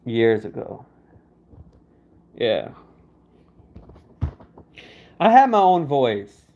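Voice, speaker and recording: A man's voice: mid-range voice, sing-song, drawn-out words, a little mellow. A young man on a casual livestream, laid-back and teasing, laughing as he answers viewer comments. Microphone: phone. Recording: dull lo-fi phone audio.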